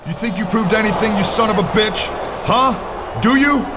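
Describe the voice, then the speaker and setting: A man's voice: sits high, normal speed, heavy background noise, lightly acted. A man playing a cocky, defiant villain wrestler in a video game cutscene. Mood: taunting.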